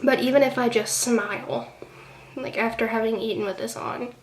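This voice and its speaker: A woman's voice: fairly high pitch, fairly even intonation, moderate pace, far from the mic. Chatty young woman, nasal from a cold. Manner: chatty, rambling with many fillers. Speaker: beauty vlogger. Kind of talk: casual product review. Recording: echoey room, off-mic, faint hiss.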